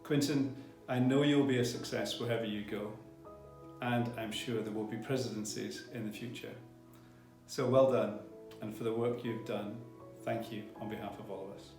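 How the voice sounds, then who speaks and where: A man's voice: lowish voice, fairly even intonation, normal speed. A man giving a formal, appreciative ceremony address as a college leader. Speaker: college principal, ceremony speaker. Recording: roomy echo with guitar music.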